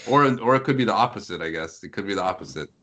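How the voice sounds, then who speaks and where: Very low-pitched man in casual conversation. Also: lively intonation, very fast, fairly bright tone. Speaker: podcast guest. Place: group online call.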